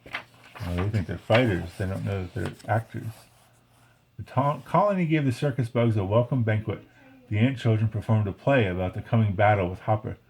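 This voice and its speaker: A man's voice: on the low side, normal speed, gravelly, a little mellow, poor audio. A man reading a children's story aloud expressively, with casual, chatty asides. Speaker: storybook reader. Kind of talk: read-along story narration with casual asides.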